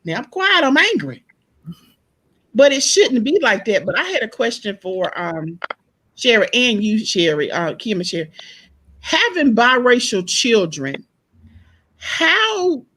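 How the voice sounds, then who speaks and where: A woman with a loud speaking voice, talking animatedly in a casual group discussion, acting out the exchanges she retells. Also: medium pitch. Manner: animated storyteller, acts out quoted exchanges.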